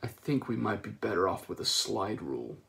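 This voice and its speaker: A brash starship captain drawls his lines in a low, offhand tone, as a man puts him on. He sounds dismissive and careless, shrugging everything off. It is audiobook character work. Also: sing-song, fairly quick, creaky, a bit bright, exaggerated voice.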